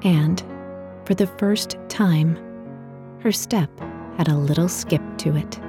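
A woman's voice: sits low, slow, over music. A woman reading a short story as a scripted fiction narration.

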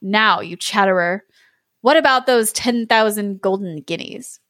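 A woman's voice: mid-range voice, unhurried, fairly bright tone, no room sound, clean studio sound, lightly acted. A woman voicing a greedy, menacing male wizard in a storybook read-aloud, demanding and exclamatory. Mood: impatient, threatening.

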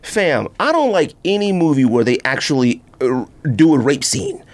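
An American man hosting a podcast, chatting casually through a countdown in an animated, exclamatory, slangy way. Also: on the high side, moderate pace. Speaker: podcast host.

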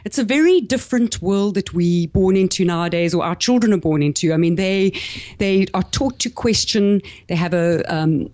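A woman's voice: mid-range voice, moderate pace, a little mellow, no room sound. A woman in her forties with a South African accent, speaking as a podcast guest in an articulate, reflective and upbeat way. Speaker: podcast guest, business coach. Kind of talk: interview conversation.

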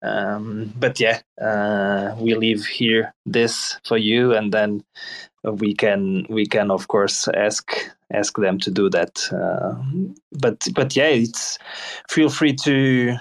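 A man hosting an online audio chat, encouraging the speakers and talking in halting phrases with many restarts. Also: lowish voice, steady tone. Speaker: host. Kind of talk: casual chat.

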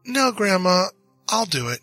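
A little six-year-old girl, cautious and quick to take offense, acted by a man reading a story. The voice is pushed well above his own and keeps a husky grain. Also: high-pitched, sing-song, pushed and bright, dry recording, faint music behind, pitched above the performer's own voice, exaggerated voice. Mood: wary, childlike. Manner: childlike, wary.

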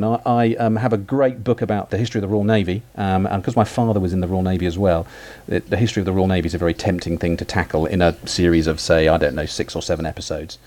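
A low-voiced British man talks quickly and haltingly, circling back over his words. He speaks as a podcast guest on an internet call, casual and unscripted. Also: darkish tone. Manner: hesitant, many restarts and fillers. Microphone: remote call audio.

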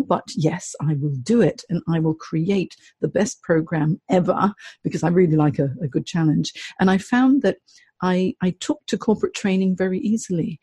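A middle-aged woman from Hong Kong, a career coach, telling her story in a chatty, animated way as an interview guest. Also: lowish voice, breathy edge, dry recording. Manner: chatty, animated, laughs often.

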